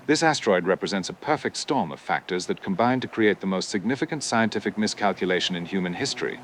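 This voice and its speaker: A grave, academic scientist acted by a man speaks in formal, technical phrases as a news interviewee in an audio drama. The delivery is rapid and low-pitched, with clear city-like noise behind it. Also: animated, a bit bright. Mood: grave, regretful. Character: grave male scientist explaining a catastrophic asteroid miscalculation. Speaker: expert interviewee on a TV news broadcast.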